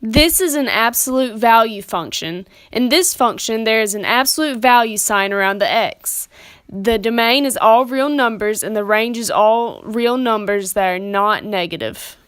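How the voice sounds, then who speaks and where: A woman's voice: fairly high pitch, moderate pace, fairly bright tone, smooth, dry recording. A young woman giving scripted lesson narration as a student presenter, explaining plainly and methodically.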